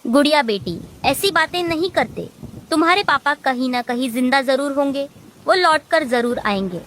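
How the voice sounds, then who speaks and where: A woman's voice: sits high, expressive, normal speed, a bit bright, a little hiss or hum. A woman voicing an earnest, devoted and anxious mother bird in a cartoon. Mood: reassuring. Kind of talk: Hindi cartoon voice acting.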